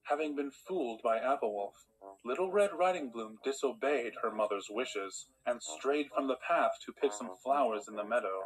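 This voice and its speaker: A man playing a patient storybook narrator, measured and dry, with a long-suffering manner. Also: medium pitch, husky, close to an everyday voice, low-quality recording. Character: patient storybook narrator turning exasperated when interrupted.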